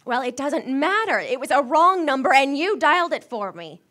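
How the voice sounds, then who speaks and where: Woman as fretful invalid wife, high voice, stammering, demanding, nervous, vintage radio suspense drama, live recording. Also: sing-song, moderate pace, pushed and bright, full character voice. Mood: frantic, insistent. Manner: fretful, stammering, breathless urgency. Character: nervous, sickly, demanding wife alone at home. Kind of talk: old-time radio suspense acting.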